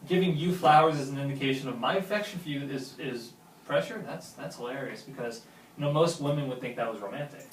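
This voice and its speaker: A man's voice: mid-range voice, fast, distant mic, close to an everyday voice. Guy acting a lovesick best friend, earnest and pushy, indie film drama, roomy sound w faint noise. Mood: wounded sarcasm. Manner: earnest, insistent. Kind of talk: naturalistic film dialogue at a table read.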